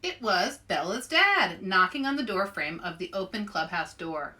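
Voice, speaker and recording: A woman presenting a children's read-aloud in an animated way, speaking directly to young listeners as an author and host. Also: medium pitch, normal speed.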